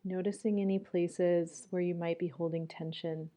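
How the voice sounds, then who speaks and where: A woman leading a guided meditation in a calm, gentle manner, leaving long pauses as she goes. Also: fairly low pitch.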